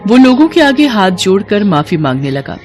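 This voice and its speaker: A woman's voice: mid-range voice, very animated, moderate pace, darkish tone, soft background music. A woman reading scripted narration as the storyteller of a cartoon moral tale.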